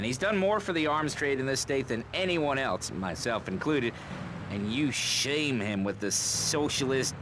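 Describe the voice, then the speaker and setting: Man playing a blustering politician over loud traffic noise. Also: mid-range voice, close to an everyday voice. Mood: scornful, pompous. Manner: blustering, pompous. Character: pompous, brazenly corrupt conservative male politician. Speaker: politician guest on a panel show. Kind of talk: satirical radio debate acting. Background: vehicle engine and city traffic.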